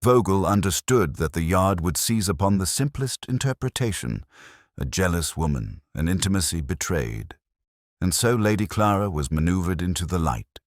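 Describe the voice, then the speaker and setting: Man acting as a composed Victorian sleuth, extremely low voice, animated swoops, theatrical, precise, storybook detective. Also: moderate pace, dry recording, clean studio sound. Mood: composed, lecturing. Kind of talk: audiobook detective story dialogue.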